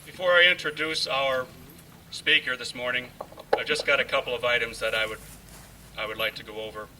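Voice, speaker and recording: A man addressing a ceremony as its host, speaking in a deliberate, halting way. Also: mid-range voice, steady tone, pushed and bright. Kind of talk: public address with read-aloud passages. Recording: distant mic, faint background noise.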